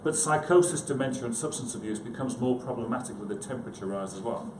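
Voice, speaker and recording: A man's voice: mid-range voice, fast talker. Man presenting in an echoey, noisy room. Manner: self-deprecating, hesitant, chatty asides to listeners. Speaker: conference speaker, psychiatrist. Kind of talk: informal conference presentation. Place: conference room, indoors.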